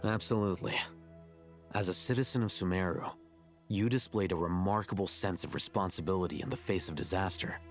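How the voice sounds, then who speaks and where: A man's voice: sits low, brisk, faint music behind, close to an everyday voice. An actor playing a composed young male scholar in a game cutscene, dry and matter-of-fact. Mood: calm, dry.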